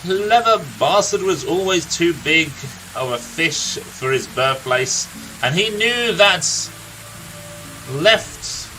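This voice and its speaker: A man playing a gruff, irritable older villager in a video game, reading out a tale in a blunt storytelling manner. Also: on the high side, sharp tone, a little hiss or hum, exaggerated voice, low-quality recording.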